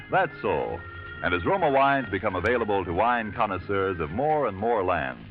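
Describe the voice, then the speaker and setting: An American man reads a 1940s radio commercial with a polished, emphatic sell. His pitch moves up and down freely. The recording is dull and vintage, with some music. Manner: polished, emphatic salesman's delivery, spelling out the brand. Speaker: radio announcer and sponsor pitchman.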